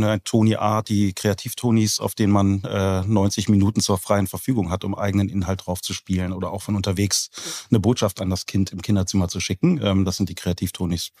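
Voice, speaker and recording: A man's voice: on the low side, level tone, fast, a little mellow. A man speaking casually as a company founder being interviewed. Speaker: company founder, podcast guest.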